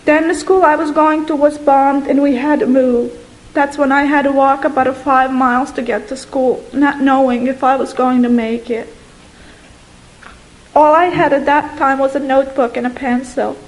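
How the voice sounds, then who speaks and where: High-pitched young woman from Bosnia, earnest testimony, slow with long pauses. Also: steady tone, low-quality recording. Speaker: guest giving a testimony.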